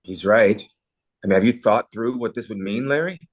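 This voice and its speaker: A man's voice: lowish voice, normal speed, natural voice. An actor reading an earnest, nostalgic small-town man in a holiday romance table read. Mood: concerned.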